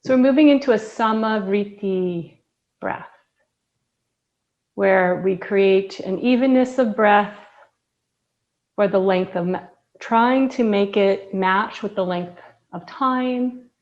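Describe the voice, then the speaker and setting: A woman leads a breathing meditation as a yoga teacher, her voice soft and soothing. She speaks very slowly and leaves long pauses between phrases. The recording sounds slightly dull and lo-fi, and her voice sits at a middle pitch.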